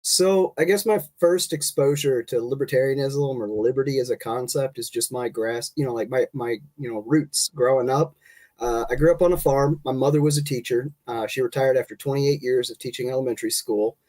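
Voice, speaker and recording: Guest: congested man, mid-pitched, talking casually about his background. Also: brisk, low-quality recording. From Kentucky. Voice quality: nasal from congestion, relaxed rambling delivery. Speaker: guest, state party chair. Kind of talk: casual podcast interview.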